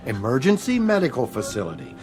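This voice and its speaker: An easygoing police officer in a radio drama. His mid-pitched voice moves up and down a lot, informal and placating, with faint music underneath. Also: huge pitch swings, brisk, darkish tone. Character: easygoing, mildly sympathetic police officer partner.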